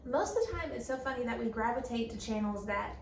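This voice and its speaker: A woman's voice: a little high, lively intonation, fast. A woman in her thirties from North Carolina, chatting enthusiastically as host. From Fayetteville, North Carolina. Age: 30s. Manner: enthusiastic, effusive. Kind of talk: casual chat. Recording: echoey room, mic at a distance, faint noise.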